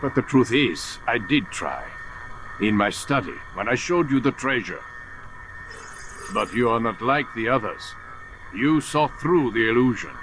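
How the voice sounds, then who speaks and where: An aging mentor of assassins in a video game drama, scheming and philosophical. He speaks slowly with wide melodic swings, lecturing with theatrical condescension. A light noise sits behind the voice. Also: mid-range voice, animated, fairly bright tone, poor audio. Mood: calm menace. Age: older. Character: calculating old master assassin, philosophical betrayer.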